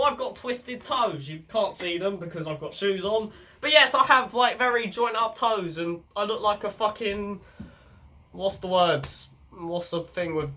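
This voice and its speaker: Young male vlogger with a head cold. Bright, congested voice, blunt and sweary. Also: high voice. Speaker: channel host. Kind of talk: casual self-help vlog.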